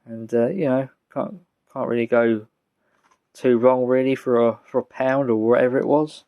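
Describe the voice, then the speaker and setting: A British man giving a casual, rambling show-and-tell as a vlogger, speaking in a halting, low-key way. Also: steady tone, muffled recording. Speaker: vlogger showing a music collection.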